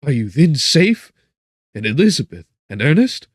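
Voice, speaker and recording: A man's voice: a little high, very animated, normal speed, no room sound, clean studio sound, pitched above the performer's own voice. A man voicing an anguished, feverish young man in a melodramatic, exclamatory audiobook style. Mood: anxious, eager. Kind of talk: dramatic audiobook character acting.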